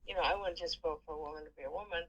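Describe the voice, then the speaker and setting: A middle-aged aunt chatting with her nephew, telling a story in an animated, indignant way. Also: low voice, very fast, breathy edge, poor audio. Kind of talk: casual phone chat.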